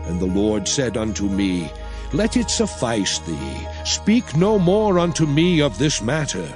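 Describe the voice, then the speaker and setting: Slow, solemn commanding biblical prophet. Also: male voice, mid-range voice, very animated. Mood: grave, commanding. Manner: solemn, oratorical, measured, emphatic. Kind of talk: dramatized scripture reading. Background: music.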